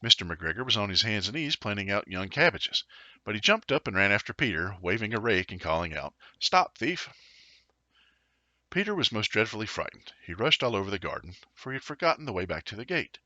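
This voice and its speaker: Male storyteller for a children's tale: low pitch, very bright and forceful, playful dramatic emphasis. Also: sing-song, fast talker, no room sound, muffled recording. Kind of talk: expressive children's storybook narration.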